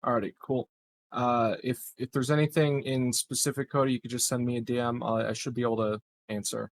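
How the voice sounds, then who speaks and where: A man's voice: mid-range voice, flat delivery, a little fast. A man talking casually as a player at a tabletop game. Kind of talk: casual chat.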